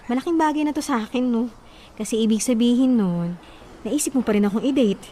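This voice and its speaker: A woman's voice: on the high side, fairly quick, mellow, a little hiss or hum, lightly acted. An actress playing an affectionate, hurt young wife and mother in an emotional, pleading Filipino radio drama style. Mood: fond, wistful.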